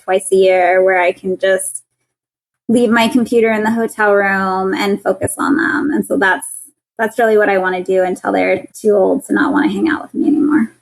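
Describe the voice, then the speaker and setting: A woman in her thirties whose voice sounds young, speaking at an ordinary middle pitch. She talks in an earnest, conversational way as an interview guest, often laughing and restarting her words. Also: vocal fry, rough recording. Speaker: guest, patent attorney.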